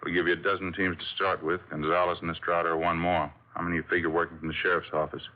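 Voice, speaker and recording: A brisk police captain, as a man plays him in an old radio drama, giving orders with decisive authority. His voice is very deep, and the sound is dull and muffled like a vintage broadcast. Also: little pitch movement, lightly acted. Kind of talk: 1950s radio drama acting.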